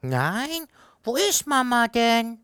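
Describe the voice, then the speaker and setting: A woman's voice: fairly high pitch, huge pitch swings, slow pace, fairly bright tone, clean tone, dry recording, clean studio sound, full character voice. A woman voicing the smallest earthworm child, who is whiny and asks many questions. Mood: curious. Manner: whiny, pleading.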